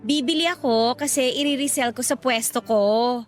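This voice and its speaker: An actress playing a sassy, gossipy female cassava vendor in a Tagalog radio drama, teasing and bantering in an animated, colloquial way. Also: fairly high pitch, normal speed, faint music behind, natural voice. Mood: sassy, haggling.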